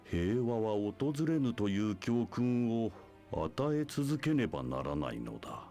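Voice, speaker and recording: An imperious, scheming leader of a church, deep and sweeping in pitch. He speaks in grandiose, archaic formal phrases, as in a dramatic video game scene, with music underneath. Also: sing-song, moderate pace, vocal fry, warm tone. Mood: grandiose, scornful. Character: imperious, scheming male church leader preaching his doctrine. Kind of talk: Japanese video game voice acting.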